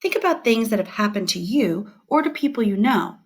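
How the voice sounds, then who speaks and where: A woman teacher reading scripted educational material aloud in a clear, deliberate and expressive way. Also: mid-range voice.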